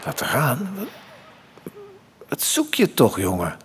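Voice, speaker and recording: A man's voice: mid-range voice, huge pitch swings, slow pace, a little hiss or hum. A man playing a weary, learned middle-aged father in a radio drama, with a hesitant, explanatory way of talking. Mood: puzzled.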